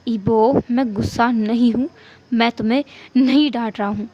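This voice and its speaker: A woman voicing a patient, exasperated and loving husband and father in a told story, softly placating. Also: a little high, steady tone, normal speed, muffled recording, close to an everyday voice. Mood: weary, placating. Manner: soft, placating reassurance. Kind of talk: amateur storytelling.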